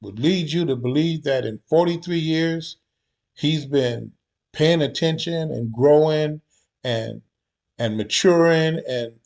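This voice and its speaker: A man hosting a commentary video, mocking the people in a clip with rhetorical questions and sometimes dropping to a low mutter. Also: a little mellow. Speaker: commentary host. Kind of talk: reaction commentary.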